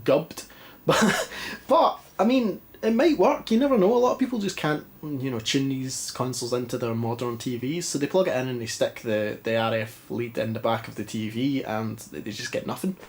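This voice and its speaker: A man's voice: mid-range voice, normal speed, a little hiss or hum. A Scottish man with a stuffy, congested voice, chatting casually and mocking himself while testing an old console in a dim living room. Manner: chatty, self-mocking, rambling. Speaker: retro game vlogger. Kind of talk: casual chat.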